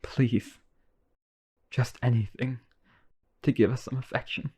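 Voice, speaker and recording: A man's voice: mid-range voice, steady tone, slow pace, darkish tone, husky. A man playing an obsessively devoted, centuries-old vampire brother in a boyfriend roleplay, earnest and pleading. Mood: desperate pleading.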